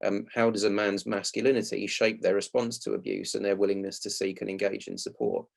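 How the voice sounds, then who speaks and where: A man presenting a prepared public talk in a measured, articulate, explanatory way. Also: fairly low pitch, flat delivery, gravelly. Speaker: playwright and actor giving a talk.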